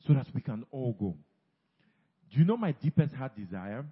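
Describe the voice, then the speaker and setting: Male pastor, husky, urging, echoing church hall, muffled sound. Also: medium pitch, big pitch swings, normal speed. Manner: urging, repeats phrases, teases congregation members. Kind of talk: sermon exhortation.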